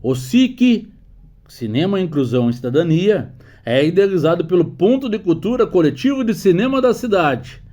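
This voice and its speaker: A man's voice: high-pitched, very animated, moderate pace, dark tone. A man reading a formal scripted narration like an announcer.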